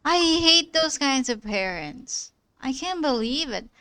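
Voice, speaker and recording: Bright-voiced woman streamer reacting. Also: high-pitched, expressive, takes it slowly, dry recording. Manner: short, outspoken interjections. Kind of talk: live game stream reactions.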